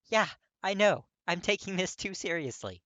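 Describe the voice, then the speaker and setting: Guy doing an earnest clingy young man in an audiobook, higher voice, halting n pleading, dry close sound. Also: expressive, fairly bright tone, no room sound. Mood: hurt. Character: anxious, tearful, self-blaming young man, earnest and clingy. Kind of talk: dramatic audiobook character reading.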